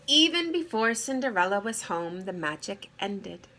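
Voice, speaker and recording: Animated woman storyteller with a bright voice. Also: mid-range voice. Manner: deliberate, expressive phrasing for children. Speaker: read-aloud storyteller. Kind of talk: expressive children's story narration. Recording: clear background noise.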